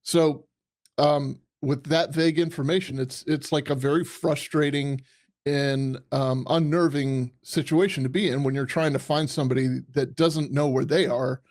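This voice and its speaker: A man with a somewhat high voice gives off-the-cuff analysis. He talks casually and haltingly, working out his thoughts aloud and doubling back on words. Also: steady tone, a little mellow. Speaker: commentator, retired police commander.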